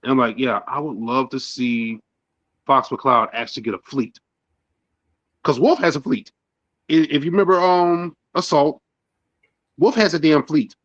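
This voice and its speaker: A man in his thirties rambles through casual podcast chat, full of fillers and restarts. His voice sits at a middle pitch. The audio is dry and a little dull. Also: unhurried, pauses often, fairly bright tone, dry recording. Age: 30s. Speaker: podcast co-host.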